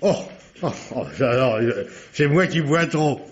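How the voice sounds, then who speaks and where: A man's voice: mid-range voice, a little slow, close to an everyday voice. An actor playing a chatty, folksy office doorman, deferential yet cheeky, full of interjections, in an old radio drama. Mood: teasing, cheeky. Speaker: doorman and receptionist. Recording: dull, noisy vintage radio recording.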